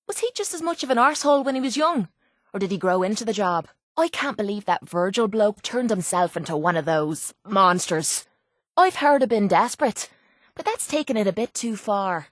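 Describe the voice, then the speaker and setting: A woman's voice: mid-range voice, no room sound, close to an everyday voice. Woman acting as Irish female mercenary, video game companion, foul-mouthed, cocky, blunt, dry close recording. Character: tough, brash, foul-mouthed young woman brawler and mercenary.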